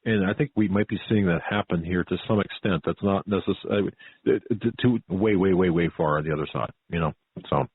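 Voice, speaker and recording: A man hosting a talk radio interview, speaking haltingly and repeating his words. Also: sits low, breathy edge. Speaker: radio show host. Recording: narrow-band phone-like audio.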